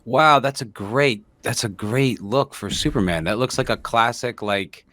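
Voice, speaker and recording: A man's voice: mid-range voice, a little slow, a bit bright, no echo. A man chatting casually as a co-host, reacting informally and asking questions as a layman. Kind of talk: casual chat.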